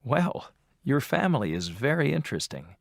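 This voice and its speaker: An adult voice playing an interviewer in a scripted textbook dialogue, asking friendly questions with measured delivery. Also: mid-range voice, very animated, creaky, dry recording, studio quality. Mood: plain.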